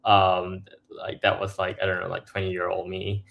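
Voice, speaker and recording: Young man from Singapore, gravelly and low. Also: completely flat delivery, moderate pace, lo-fi sound. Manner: filler-heavy, restarts often, laughs between points. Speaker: podcast guest, startup co-founder. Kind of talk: casual interview chat.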